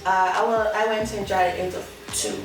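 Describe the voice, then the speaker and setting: A woman from Rome, a guest on a podcast, chatting relaxed and laughing easily. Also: medium pitch, quick pace. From Rome, Italy. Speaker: guest, caterer. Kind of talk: casual interview chat. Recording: echoey room, distant mic, music behind.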